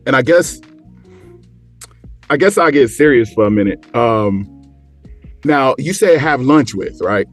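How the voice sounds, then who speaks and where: A man chatting casually in a group, informal and often halting as he searches for his words. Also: mid-range voice, lively intonation. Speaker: show guest. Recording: background music under the voice.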